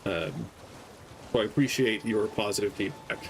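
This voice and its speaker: A young man streaming a game, talking in a gentle, soft-spoken, rambling way with lots of ums. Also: fairly low pitch, normal speed, noisy background. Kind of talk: casual gameplay stream chat. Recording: game music playing behind. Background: game music.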